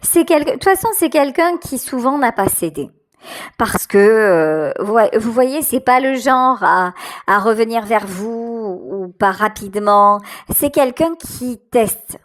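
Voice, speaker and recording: A woman giving an unscripted tarot reading directly to the listener, halting and repeating her phrases. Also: on the high side, expressive.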